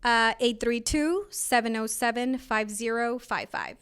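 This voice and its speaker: A woman's voice: a little high, measured pace, fairly bright tone, clean tone. A woman, a real estate agent giving her contact details in a brief, nervous way.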